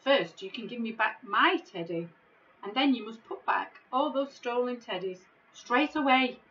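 A woman's voice: on the high side, expressive, a little slow, fairly bright tone, highs cut off, voice pushed up. A woman voicing a small, plucky, helpful storybook boy who speaks directly and boldly. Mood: firm.

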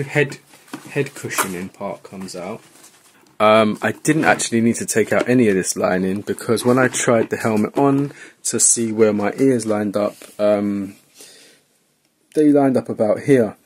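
Male how-to vlogger, fairly low pitch, casual and unscripted, talking through the task at hand. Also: a little slow, a little hiss or hum. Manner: informal, thinking aloud while working. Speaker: vlogger giving a how-to tutorial. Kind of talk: casual hands-on tutorial narration. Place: indoors at a wooden table in a home room.

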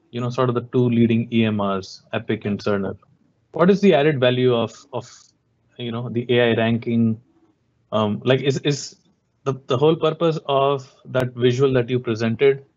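A man's voice: medium pitch, darkish tone. A man moderating an online event, putting questions in an informal, conversational way. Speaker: moderator relaying audience questions. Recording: slightly dull online call audio.

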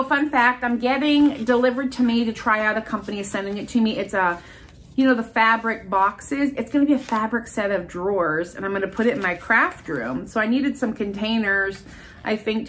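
A woman's voice: mid-range voice, fairly bright tone, a little hiss or hum. A middle-aged American woman with a stuffy, congested voice, chatting casually and enthusiastically as she presents shopping finds. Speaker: haul video host. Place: furnished room at home, indoors.

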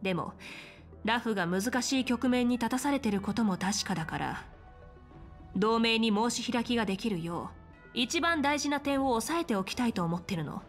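Actress as game general: woman, composed, authoritative, frank, measured delivery over background music. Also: a little high, animated, natural voice. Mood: frank, confident. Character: confident, composed female military general in fantasy game. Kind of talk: dramatic Japanese game voice acting.

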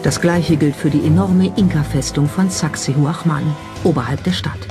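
A documentary narrator with a middle-register voice delivers scripted narration. Music plays clearly underneath throughout. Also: mid-range voice, moderate pace. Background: background music.

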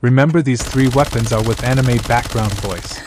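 A man's voice: medium pitch, moderate pace, background noise. A man hosting a gaming channel, reading a scripted news update as narration.

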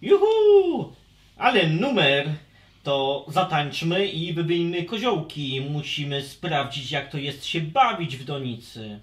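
A woman's voice: deep voice, very animated, slow, reverberant, full character voice. A woman playing a young, playful, hot-tempered male dragon in a children's audio story, with theatrical exclamations.

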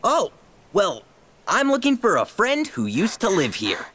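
A woman's voice: medium pitch, huge pitch swings, unhurried, a bit bright, slightly rough, full character voice. A woman voicing a cheeky, plucky teenage kid in a video game, a bit bratty and hesitant. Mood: nervous, polite. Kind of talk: animated video game cutscene acting.

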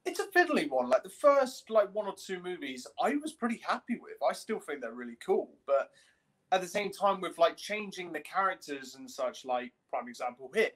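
Young man, high voice, casual halting chat, podcast co-host, video call. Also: very animated, fairly bright tone. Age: young adult. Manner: halting, peppers speech with "like". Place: indoor room, video call.

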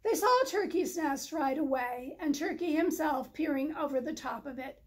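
A woman's voice: sits high, expressive, moderate pace. A middle-aged woman reading a children's story aloud as scripted narration. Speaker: storybook reader. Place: indoor library, in front of bookshelves.

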